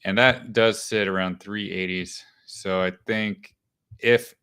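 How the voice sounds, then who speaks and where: Casual, low-voiced man reading stock charts. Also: fairly even intonation, takes it slowly, bright, forceful tone. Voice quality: smooth. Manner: loose, thinking aloud, many fillers and restarts. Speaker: stock market show host. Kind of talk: casual live chart commentary.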